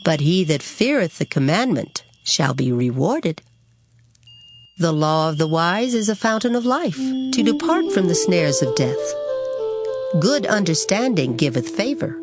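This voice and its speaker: Female scripture reader, theatrical and formal, highly animated melody, clear music bed underneath. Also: measured pace. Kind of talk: dramatized Bible reading, expressive recitation. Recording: music behind the voice. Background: background music.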